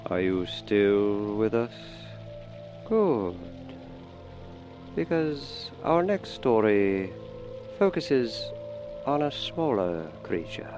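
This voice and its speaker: A man hosting radio stories, narrating from a script in a deliberate, ominous manner with pauses. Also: lowish voice, sing-song, music in the background. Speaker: host introducing radio stories.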